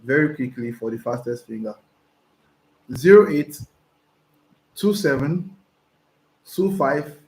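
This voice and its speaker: A young Nigerian man with a slightly hoarse voice, coaching viewers live and reading their messages aloud, excitable and prone to restarts. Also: mid-range voice, slow pace, pauses often. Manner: excitable, repeats himself, stumbling restarts. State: hoarse from talking. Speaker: online business coach. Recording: roomy echo, faint background noise.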